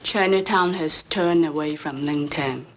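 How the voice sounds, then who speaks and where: An actress playing a cool, stern go-between for a crime boss in an old film, husky breathy voice and clipped, formal broken English. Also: female voice, low voice, measured pace, lo-fi sound. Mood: grave, guarded.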